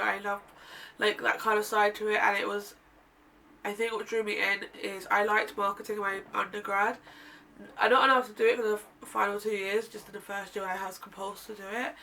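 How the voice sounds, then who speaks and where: Young woman, nasal and congested, bright voice, flat delivery, rambling chatty vlog, mic set back, faint room hum. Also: mid-range voice, gravelly, recorded from a distance, poor audio. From United Kingdom. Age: 20s. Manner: rambling, chatty, self-correcting. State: nasal and bunged up. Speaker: vlogger. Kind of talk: casual sit-down chat to camera.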